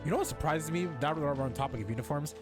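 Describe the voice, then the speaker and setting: A man's voice: on the high side, rapid-fire. A young man casually commentating on a game, slangy and rambling, often repeating himself. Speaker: game streamer. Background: game music.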